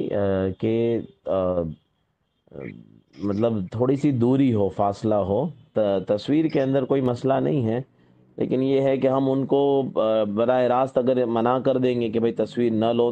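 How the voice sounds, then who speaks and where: A man's voice: medium pitch, mellow. A man talking hesitantly and tactfully, with respectful pious phrases, in a polite conversation on a muffled phone call recording.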